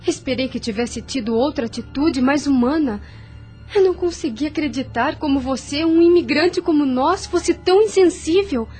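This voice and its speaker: Radio soap role, female actor: dignified immigrant mother, hurt and reproachful, higher-pitched voice over faint music. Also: moderate pace, a little mellow, natural voice. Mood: hurt, bitter. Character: proud, wounded, reproachful immigrant mother of a young boy. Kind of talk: radio soap opera acting.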